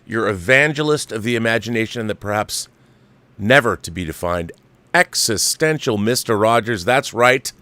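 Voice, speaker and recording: A man's voice: on the low side, animated, normal speed, bright, forceful tone, no echo. A man hosting a livestream, giving casual, opinionated film commentary with a theatrical showman's turn of phrase.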